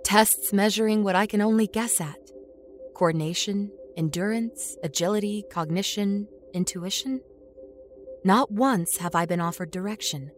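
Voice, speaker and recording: A woman acting a haunted, introspective captive with no memory, narrating a horror audio story in a measured, brooding way. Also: mid-range voice, lightly acted. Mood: tense, brooding. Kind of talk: first-person scripted horror audio story. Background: music score under the voice.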